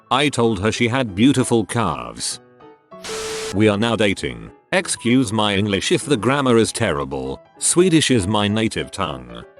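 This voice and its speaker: A man narrating first-person anecdotes aloud in a scripted reading, spelling out abbreviations letter by letter. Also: on the low side. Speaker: narrator reading online posts.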